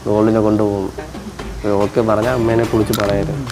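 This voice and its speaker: A man's voice: on the low side, fairly even intonation, fast, background noise. A man chatting casually and playfully as a prank host, teasing and repeating his questions insistently.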